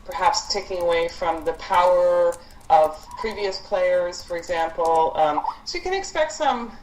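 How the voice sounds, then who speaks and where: A woman with a fairly low voice gives an instructional briefing to project teams. She talks haltingly, working out her points as she goes. The call sounds very echoey, with faint noise behind her. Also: expressive, a little mellow. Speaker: program leader briefing student project teams.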